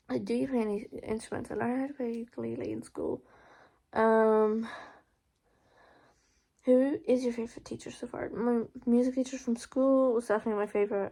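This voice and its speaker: A woman's voice: on the high side, a little mellow, low-quality recording. A young adult woman with an Irish accent, chatting casually through a get-to-know-me Q&A, full of "like" and "um" fillers and blunt swearing. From Ireland. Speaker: vlogger. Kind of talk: casual Q&A vlog.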